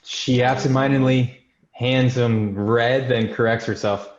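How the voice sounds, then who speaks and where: A man narrates screenplay action lines for a table read. His voice is medium in pitch. The sound is echoey and muffled, as on a video call. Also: fairly even intonation, measured pace. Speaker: stage directions reader.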